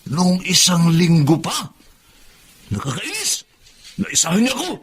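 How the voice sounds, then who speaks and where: A man playing a cruel, agitated, drug-dealing husband in a radio drama, amid loud clamour. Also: high-pitched, huge pitch swings, a little slow, crowd noise, heavily acted. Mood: angry, irritated.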